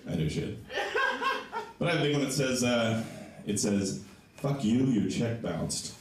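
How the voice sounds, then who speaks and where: A man with a low voice doing a stand-up comedy set in a small comedy club, telling stories with lively, animated delivery. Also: unhurried, a little hiss or hum. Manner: animated storytelling, voices for others. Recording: echoey club sound from a distant mic.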